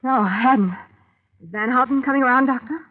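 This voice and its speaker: A woman's voice: high voice, fairly quick, narrow, tinny audio. An actress playing a shrewd, wisecracking, plucky young woman in a 1940s radio thriller. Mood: dry. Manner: wry, wisecracking. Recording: boxy, echoing 1940s radio recording.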